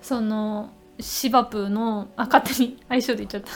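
A young woman with a fairly high voice co-hosts a podcast. She talks casually and chattily, like someone telling a friend a story, with music playing behind her. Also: fairly even intonation, normal speed. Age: young adult. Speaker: podcast co-host. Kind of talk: casual chat.

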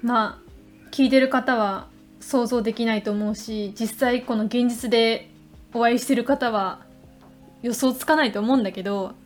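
High-voiced, eager woman podcaster over faint music. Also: fairly even intonation, normal speed. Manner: eager, insistent, talkative. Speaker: podcast co-host. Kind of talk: casual chat.

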